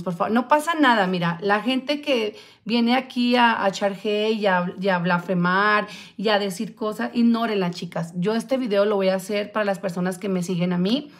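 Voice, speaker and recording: Chatty woman in her forties. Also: mid-range voice. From Colombia. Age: 40s. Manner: chatty, answers viewer comments as she talks, many fillers. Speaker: vlogger. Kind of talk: casual live-stream chat. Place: small room at home, indoors. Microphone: phone camera close up.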